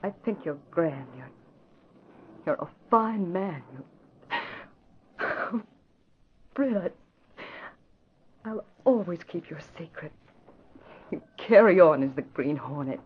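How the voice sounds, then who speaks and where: An actress playing an earnest, lovelorn young woman reporter with halting, emotional delivery in 1940s radio drama style. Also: fairly low pitch, animated, breathy edge, heavily acted. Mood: halting, tearful resolve. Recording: muffled, noisy vintage radio broadcast.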